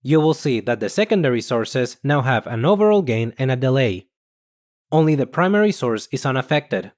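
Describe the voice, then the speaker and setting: A man reading scripted instructional narration in a measured, explanatory manner. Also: medium pitch, sing-song. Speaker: software tutorial narrator.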